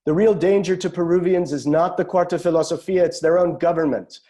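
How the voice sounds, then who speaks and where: Guy reading as a fiery woman activist giving a speech, pushed up high and loud, book reading style. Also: brisk, close to an everyday voice. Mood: defiant, accusing. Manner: loud, declamatory, impassioned. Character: defiant young American woman activist at a press conference. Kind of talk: dramatic audiobook-style reading.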